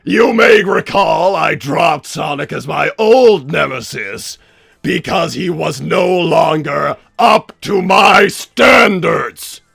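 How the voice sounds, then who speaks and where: A man playing a flamboyant, excitable mad-scientist villain hosting a livestream, theatrical, exasperated and rambling, with a raspy voice. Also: fairly high pitch, slow, dry recording, raised voice, clean studio sound, soft background music, full character voice. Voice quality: gravelly, raspy. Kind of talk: improvised in-character livestream hosting.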